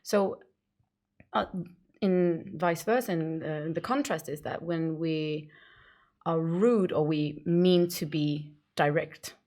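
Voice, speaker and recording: A woman's voice: sits low, slow, darkish tone. A woman with a Danish accent, co-hosting a podcast in casual chat, explaining thoughtfully with hesitant starts. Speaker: podcast co-host.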